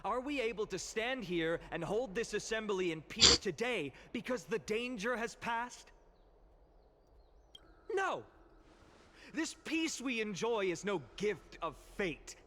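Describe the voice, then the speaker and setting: Young game orator, high-pitched, sweeping intonation, impassioned, eloquent, emphatic, faint ambient noise. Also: male voice, lively intonation, slow pace, bright, forceful tone, exaggerated voice. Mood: impassioned, accusing. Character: impassioned young male orator addressing a citizens' assembly. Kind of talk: dramatic theatrical game voice acting, public oration.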